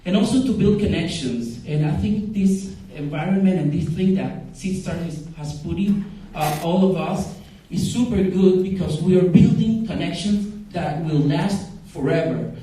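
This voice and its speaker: A man's voice: high voice, moderate pace, dark tone. Man in his forties, Costa Rican Spanish accent, informal conference speaker, repeats words for emphasis, distant mic, heavy room echo. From Costa Rica. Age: 40s. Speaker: startup founder speaking at a summit. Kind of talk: informal conference talk, personal storytelling. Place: event room at a startup summit. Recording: very echoey room, far from the mic.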